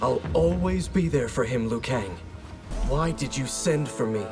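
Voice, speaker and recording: A man's voice: mid-range voice, lively intonation, a little slow, lightly acted. An actor voicing an earnest, wounded younger brother and warrior in a video game, questioning as he speaks. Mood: hurt, earnest. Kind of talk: video game character dialogue. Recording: game dialogue over music.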